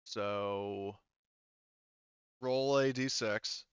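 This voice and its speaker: A man's voice: on the low side, fairly even intonation, drawn-out words, smooth, no room sound, lo-fi sound. A man acting as game master in a casual online tabletop session, rambling and thinking aloud as he narrates. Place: online voice call.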